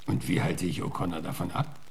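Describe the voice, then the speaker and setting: An actor playing a tough, threatening Wild West marshal in a German radio play, questioning bluntly and insistently. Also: male voice, deep voice, steady tone, fairly quick, creaky, slightly rough, heavily acted. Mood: insistent, probing.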